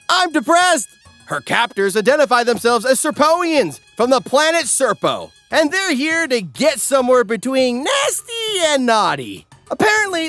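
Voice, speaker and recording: Bright, animated man narrating comic recaps. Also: very high voice. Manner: sardonic, theatrical. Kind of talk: scripted comedic narration of an anime recap. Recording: music behind.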